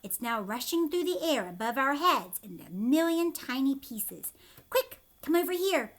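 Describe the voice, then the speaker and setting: Eccentric chocolate inventor played by a woman: male showman, bossy, excitable, highly animated, high-pitched, storybook style. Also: unhurried. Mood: excited. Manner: exclaiming, emphatic, showman-like. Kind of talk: children's book read-aloud.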